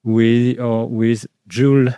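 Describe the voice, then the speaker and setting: A male host asks his guest questions slowly and haltingly, often stopping on "uh" and starting over. His voice is mellow and smooth. It is recorded close and dry. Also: medium pitch, little pitch movement, no room sound. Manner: halting, many "uh" fillers and restarts. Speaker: radio show host. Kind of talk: interview questions.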